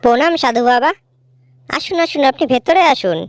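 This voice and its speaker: A woman's voice: high-pitched, lively intonation, moderate pace, dry recording, clean studio sound. A woman acting a young village sister in a cartoon folk tale. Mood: sweet, polite. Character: two village sisters, one sweet, one spiteful.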